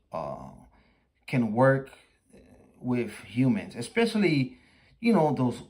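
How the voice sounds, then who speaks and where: A man with a Spanish accent, hosting a livestream and chatting casually with an AI, halting and full of fillers. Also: mid-range voice. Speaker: livestream host. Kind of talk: casual chat with an AI.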